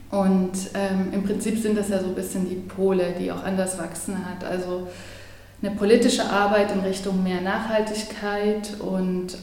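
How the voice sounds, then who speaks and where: A woman from Germany talks through her experience of church life, thinking aloud and pausing on fillers. Her voice is mellow and soft at the edges. It rings out in a strongly echoing room. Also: mid-range voice. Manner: thoughtful, hesitant with fillers. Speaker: interviewee, church member. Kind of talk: interview answer. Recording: very echoey room.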